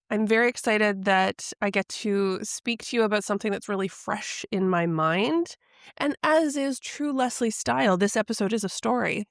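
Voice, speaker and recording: Middle-aged woman podcast host, slightly congested from a cold, reflective storyteller, gravelly tone. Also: mid-range voice, dry recording, clean studio sound. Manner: soft, reflective and confessional. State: recovering voice from a head cold. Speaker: podcast host and intuitive coach. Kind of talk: personal storytelling monologue.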